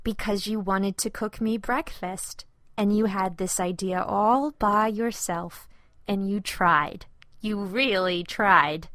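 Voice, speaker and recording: A woman's voice: medium pitch, slow, a bit bright, no echo, clean studio sound. A woman voicing a kind, encouraging mother of a young boy in a children's storybook, speaking tenderly and reassuringly.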